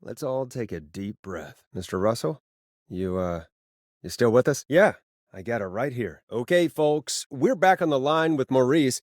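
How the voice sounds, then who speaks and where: An actor playing a wry, quick-witted late-night radio host in a video game, with sarcastic, hesitant asides. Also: male voice, medium pitch, animated, no echo, studio quality, natural voice. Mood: announcing, relieved.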